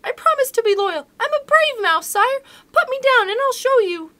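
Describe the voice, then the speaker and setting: Woman as a small brave-talking mouse, high and squeaky, eager to please. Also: animated, moderate pace, bright, forceful tone, full character voice. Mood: begging. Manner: squeaky, pleading.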